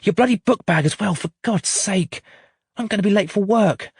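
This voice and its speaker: A man's voice: on the high side, sing-song, moderate pace, darkish tone, breathy edge, no echo, clean studio sound, pitched above the performer's own voice, exaggerated voice. A man reading aloud and voicing a stressed young mother who is late for work.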